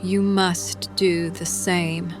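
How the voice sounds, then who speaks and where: Woman acting a slow, resolute young warrior woman. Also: fairly low pitch, level tone, music in the background. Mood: solemn. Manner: measured, solemn, resolute. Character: determined maimed young warrior woman, Samantha, proud and grave. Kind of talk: dramatic audio drama acting.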